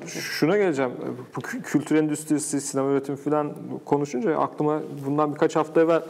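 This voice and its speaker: Male interview host, casual and hesitant, fairly high-pitched, recorded in a reverberant space. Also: fairly even intonation, a little mellow. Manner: halting, searching for words, many false starts. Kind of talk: casual unscripted conversation. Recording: echoey hall-like reverb.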